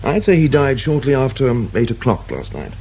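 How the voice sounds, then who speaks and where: Breezy, genial British pathologist, medium pitch. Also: male voice, sing-song, a little fast, vocal fry, darkish tone. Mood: matter-of-fact. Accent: British English. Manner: breezy, avuncular, matter-of-fact. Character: genial, unflappable, self-satisfied male pathologist. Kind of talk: radio drama acting. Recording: hissy old radio drama recording.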